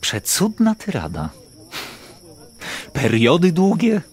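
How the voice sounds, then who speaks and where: An actor playing a count's teasing, sardonic confidant in a verse radio drama, dry and needling. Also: male voice, on the high side, huge pitch swings, slow, darkish tone, heavily acted.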